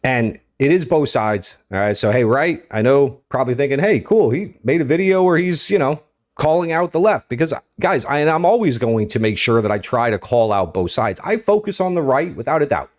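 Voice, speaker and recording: A man's voice: medium pitch, expressive, brisk. Informal, rambling American man giving commentary. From United States. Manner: informal, rambling, repeatedly checking in with listeners. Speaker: host of a political commentary show. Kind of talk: unscripted opinion commentary to viewers.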